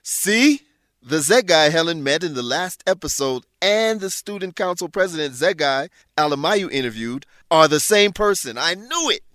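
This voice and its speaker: A man's voice: fairly high pitch, expressive, moderate pace, a bit bright, no room sound, clean studio sound. A man narrating an educational radio drama in a scripted, theatrical way, putting questions to listeners.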